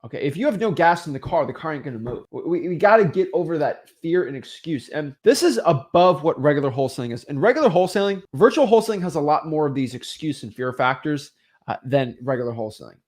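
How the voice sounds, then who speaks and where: Animated young man giving a pep talk. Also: fairly high pitch, fast talker. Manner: animated, emphatic, acts out excuses in mocking voices. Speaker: real estate webinar host. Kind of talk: motivational webinar talk.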